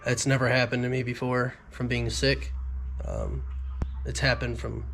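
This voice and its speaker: A man's voice: medium pitch, wildly swooping pitch, a little slow, vocal fry, fairly bright tone, a little hiss or hum. A young man, a little nasal from a recent illness, rambling casually to his viewers with lots of ums as a fishing vlogger. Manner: rambling, frequent ums and restarts. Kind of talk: casual talk to camera.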